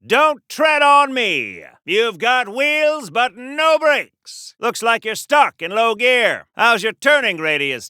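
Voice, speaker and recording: A golden age American superhero for a video game, grandiose and eager for battle. The voice sits high for a man and swings widely in pitch, declaiming florid lines in 1940s radio serial fashion. It is recorded close and dry. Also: bright, forceful tone, smooth, no echo, exaggerated voice. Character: pompous, gung-ho golden age American comic-book superhero.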